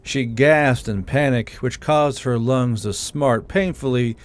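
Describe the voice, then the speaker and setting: A man narrating an audiobook chapter in steady, measured storytelling. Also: mid-range voice.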